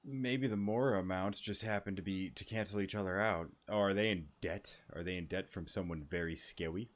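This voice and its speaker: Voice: deep voice, fairly quick, slight background noise. A game streamer giving casual, informal commentary, reacting to a story as it is played.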